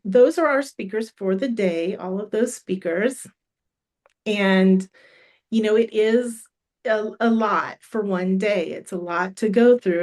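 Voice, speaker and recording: A middle-aged woman with a cold, losing her voice, hosts a live online summit in a friendly, encouraging way with many ums and restarts. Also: mid-range voice. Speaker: summit host. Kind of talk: live stream announcements.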